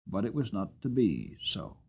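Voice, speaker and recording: A man narrating a storybook from a script in a dramatic, expressive, measured storytelling manner. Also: lowish voice, vocal fry, husky, highs cut off. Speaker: audiobook narrator.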